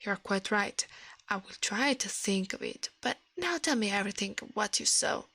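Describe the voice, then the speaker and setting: Asmr lady whisper-reading a story, breathy n crackly, trips on words a bit, super dry close mic. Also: medium pitch, expressive, dry recording. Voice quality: soft, breathy whisper, often unvoiced. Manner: halting reading with stumbles and self-corrections.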